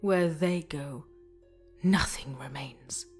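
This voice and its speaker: Woman acting weary solemn warrior-crafter lady, low voice, real slow and hushed, fantasy audio drama. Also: soft background music. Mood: ominous. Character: tall, solemn woman stone forger, weary survivor.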